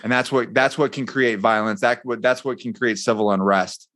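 A man speaking as a podcast guest in earnest conversation, building his points haltingly by restating them. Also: medium pitch, fairly even intonation, a bit bright. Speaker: podcast guest, military veteran. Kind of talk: earnest conversational political talk.

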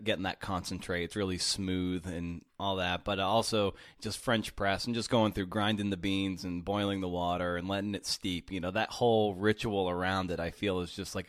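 A man's voice: sits low, no room sound, studio quality. A man hosting a podcast, chatty and conversational, with fillers and restarts. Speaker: podcast host. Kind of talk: casual interview podcast.

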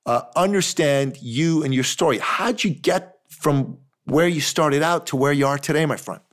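A man's voice: a little high, normal speed. A man hosting a podcast, interviewing a guest in a casual, friendly, informal way.

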